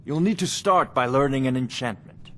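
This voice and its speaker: A man playing a haughty, scholarly court wizard in a fantasy video game, with a condescending, deliberate way of talking. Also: medium pitch, slight background noise, close to an everyday voice. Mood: condescending, businesslike. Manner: pompous, condescending, deliberate phrasing. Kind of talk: video game character dialogue.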